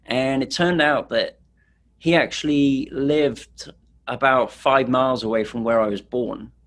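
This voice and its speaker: A man's voice: medium pitch, fairly even intonation, unhurried, fairly bright tone. A middle-aged man from England, casually telling stories as a street photographer, rambling with fillers. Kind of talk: casual presentation.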